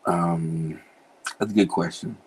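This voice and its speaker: A man's voice: deep voice, steady tone, slow pace, darkish tone, breathy edge, low-quality recording. A man from Cincinnati, an author being interviewed, talking in an easygoing, joking way. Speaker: author guest. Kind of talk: casual podcast interview.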